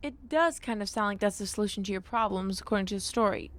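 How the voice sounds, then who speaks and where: A woman's voice: medium pitch, quick pace, natural voice. A woman playing a dry, sarcastic young woman. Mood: sardonic. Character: sardonic young woman troubled by family. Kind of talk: audio drama.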